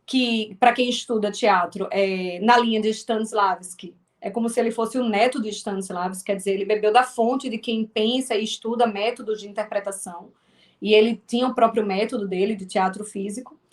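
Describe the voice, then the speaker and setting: A woman's voice: mid-range voice, fairly even intonation, normal speed. A woman from Northeast Brazil with a strong Northeastern accent, a filmmaker and actress chatting as an interview guest, with many restarts. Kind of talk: casual interview talk.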